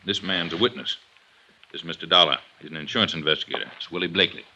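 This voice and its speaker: An actor playing a gruff, suspicious, hard-nosed police inspector in a 1950s radio detective drama, blunt and sardonic. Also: male voice, deep voice, very fast, pushed and bright, a little hiss or hum, heavily acted. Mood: brisk, stern. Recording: dull 1950s radio recording.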